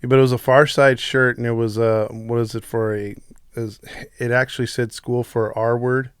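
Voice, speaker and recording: A man's voice: medium pitch, flat delivery, normal speed, clear, resonant tone, no echo. Middle-aged man chatting casually, clean and dry. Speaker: podcast co-host. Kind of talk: casual chat, reminiscing about pop culture.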